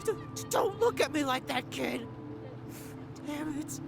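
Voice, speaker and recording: An actress playing a nervy, threatening street mugger in an audio drama, edgy and stammering. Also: female voice, high-pitched, lively intonation, measured pace, vocal fry, heavily acted. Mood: panicked. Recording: music behind the voice.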